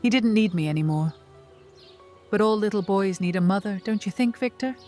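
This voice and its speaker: An enigmatic female vampire, played by a woman in a cozy horror audio drama. She is motherly and coaxing, with a measured, persuasive delivery at a medium pitch, set over music. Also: warm tone, lightly acted. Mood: gently probing. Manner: measured, deliberate, soothing and persuasive. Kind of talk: narrative fantasy horror audio roleplay.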